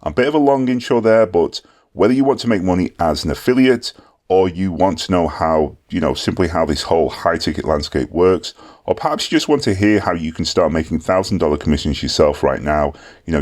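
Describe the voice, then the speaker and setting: A low-voiced man hosts a live webinar and casually hands over to the guest speaker. He talks loosely with plenty of fillers, his deep voice coming through a computer mic on a video call. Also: a little mellow. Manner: casual, filler-heavy hand-off talk. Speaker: webinar host.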